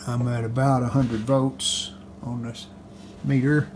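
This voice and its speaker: A man's voice: mid-range voice, flat delivery, slow pace, a little mellow. A man giving casual, unscripted hands-on repair commentary, thinking aloud in short phrases as he works. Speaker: hobbyist radio restorer presenting a repair video. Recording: clearly noisy home-video sound.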